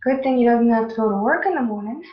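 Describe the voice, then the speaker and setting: Radio play mother, woman performer: high-pitched, fretful, indignant nag firing scolding questions, in a roomy space. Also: animated, fast talker, lightly acted. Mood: indignant, nagging. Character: nagging, indignant middle-aged mother of a grown daughter. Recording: roomy, dull home recording.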